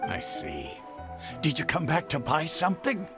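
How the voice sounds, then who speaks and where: Animated market produce vendor. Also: male voice, fairly high pitch, moderate pace, over music. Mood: friendly. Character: down-to-earth produce vendor, skeptical and brisk. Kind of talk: video game voice acting.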